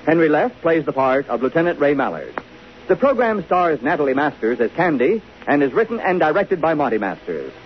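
Animated American male vintage radio announcer. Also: on the high side, brisk. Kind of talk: 1950s radio announcing, scripted credits. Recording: muffled, hissy old 1950s radio recording.

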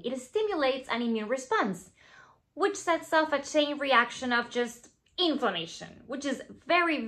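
A woman in her thirties from Venezuela with a Venezuelan Spanish accent, Spanish her first language, talking playfully to camera in a plain studio as a skincare founder. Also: high voice. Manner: playful, animated, drops to asides and whispers. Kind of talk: scripted talk to camera. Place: plain studio backdrop, indoors.

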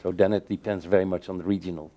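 A middle-aged man with a low, mellow voice explains his views in a measured way, often restarting his sentences. He speaks from a table in a bright room by large windows during a panel discussion. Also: fairly even intonation. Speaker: keynote speaker. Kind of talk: answering questions in a panel discussion.

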